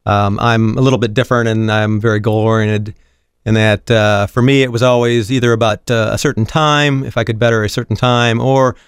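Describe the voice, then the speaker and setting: A man speaking as a guest in a casual studio conversation, measured and matter-of-fact. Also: on the low side, fairly even intonation, smooth, no echo. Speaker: show guest. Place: radio studio.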